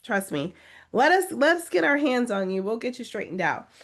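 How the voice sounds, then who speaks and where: A woman's voice: a little high, big pitch swings, normal speed, fairly bright tone. Woman, test-prep coach, encouraging, emphatic, reads chat comments aloud, video call. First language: English. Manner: encouraging, pleading, emphatic repetition. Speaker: test-prep coach running a live webinar. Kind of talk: live webinar talk, reading chat comments aloud.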